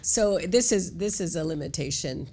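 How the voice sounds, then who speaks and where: A woman's voice: lowish voice, expressive, normal speed, mellow. A woman moderating a conference panel, upbeat and enthusiastic as she hands questions to the panelists.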